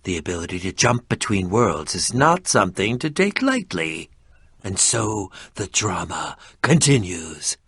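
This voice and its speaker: An actor voicing an eccentric, prickly and curious middle-aged adventurer in a video game, with a pompous, theatrical manner and formal wording. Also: male voice, low-pitched, big pitch swings, measured pace, fairly bright tone, breathy edge, dry recording, exaggerated voice. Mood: pompous, musing.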